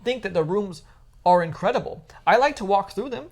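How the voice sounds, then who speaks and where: A woman's voice: mid-range voice, lively intonation, fairly quick, darkish tone. A woman co-hosting a podcast, chatting casually and giving opinions in an emphatic, teasing way. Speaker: podcast co-host.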